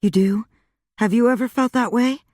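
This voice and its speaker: A woman's voice: a little high, darkish tone, no echo, clean studio sound, pitched above the performer's own voice, lightly acted. Woman reading as a guarded young bride. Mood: uneasy. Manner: hesitant, halting sentences.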